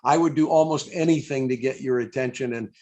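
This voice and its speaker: A man's voice: medium pitch, a little fast, a little mellow. A man conducting a conversational interview over a video call, with hesitant, stammered starts to his questions. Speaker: interviewer, stage impressionist.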